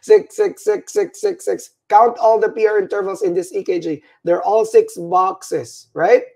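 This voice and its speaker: A man's voice: high voice, animated, measured pace, creaky, a little mellow. A middle-aged Filipino man, English as his second language, teaching an EKG class by webcam, tired and repeating "right?" to check in. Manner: repetitive, checks in with "all right?" and "right?". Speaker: nursing instructor. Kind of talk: livestreamed EKG lecture.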